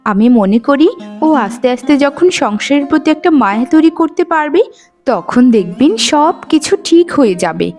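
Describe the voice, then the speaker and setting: Woman acting a high-pitched, gentle Bengali cartoon housewife. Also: expressive, moderate pace. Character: patient, kind elder daughter-in-law and soft-spoken mother-in-law. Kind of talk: cartoon moral-story dialogue. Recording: music under the voice.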